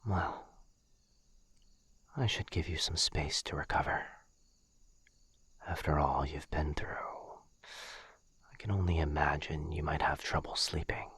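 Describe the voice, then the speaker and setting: A man playing a gentle, protective, courtly vampire lord in an ASMR roleplay, speaking softly in a husky near-whisper with a hesitant, formal, earnest manner. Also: rumbling low, unhurried, pauses often, dry recording, exaggerated voice. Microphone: close studio mic, dry.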